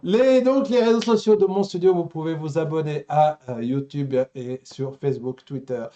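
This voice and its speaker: A man's voice: a little high, moderate pace, dark tone, highs cut off. A man co-hosting a casual live talk show, joking and teasing with wordplay.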